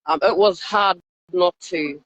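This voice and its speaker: A woman's voice: fairly low pitch, slow. A woman with a New Zealand accent giving an earnest, informal live interview as an activist. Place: outside a hospital, live call. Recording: dull lo-fi live stream audio.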